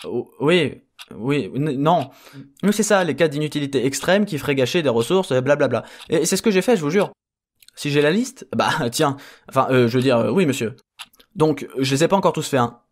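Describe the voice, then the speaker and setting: A man's voice: a little high, expressive, normal speed, natural voice. Flustered, rambling man playing a henchman. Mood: flustered, defensive. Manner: rambling, hesitant, exasperated. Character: flustered, sarcastic, foul-mouthed henchman clone. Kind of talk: comedic audio drama acting.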